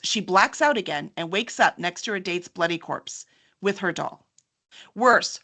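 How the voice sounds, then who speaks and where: A woman's voice: mid-range voice, fairly bright tone. A woman hosting an interview in a chatty, enthusiastic style, sometimes reading aloud. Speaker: host and book publisher's representative. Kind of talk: upbeat interview chat with some reading aloud.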